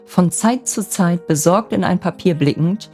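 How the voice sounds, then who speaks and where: A woman with a gentle voice narrating a scripted bedtime fairy tale as a storyteller. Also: lowish voice, a little slow. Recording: music bed under the voice.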